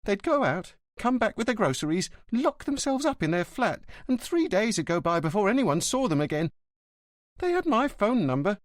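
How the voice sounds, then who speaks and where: A shrill, wailing old concierge woman, as a male narrator puts her on, her voice jumping very high and swinging wildly as she gossips with theatrical alarm. The sound is dry and close. It is a character voice in a read-aloud crime story. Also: very animated, moderate pace, no room sound. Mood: indignant. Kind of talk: audiobook character voice.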